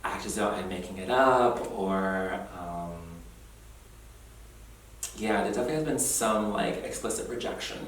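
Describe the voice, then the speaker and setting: A young man reflecting earnestly on his heritage in an oral history interview, thoughtful and quick to laugh. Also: on the low side. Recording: roomy echo, distant mic, faint noise.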